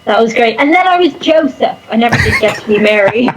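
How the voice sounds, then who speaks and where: A woman's voice: a little high, expressive, normal speed, noisy background. A woman chatting casually and unscripted as an interview guest, rambling along with self-deprecating humour. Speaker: interview guest, performer and online content creator.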